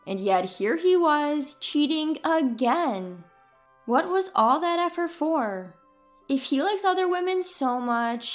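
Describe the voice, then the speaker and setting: Woman narrator reading a personal drama story, highish voice, soft music behind.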